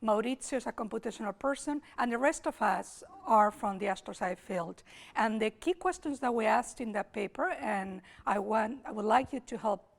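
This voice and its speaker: A woman with a Spanish accent, hoarse today, giving an academic lecture at a summer school, thinking aloud with restarts and repeated words. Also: a little high, moderate pace. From Spain.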